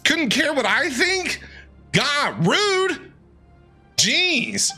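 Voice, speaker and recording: A man streaming a video game, giving playful, gushing and excitable commentary. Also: very high-pitched, very slow. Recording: game music behind the voice.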